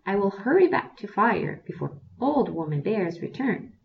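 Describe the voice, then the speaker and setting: A woman's voice: medium pitch, sing-song, moderate pace, gravelly. A woman voicing a small, restless young male bear in a storybook tale, sulky and greedy. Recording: distant, dull lo-fi recording.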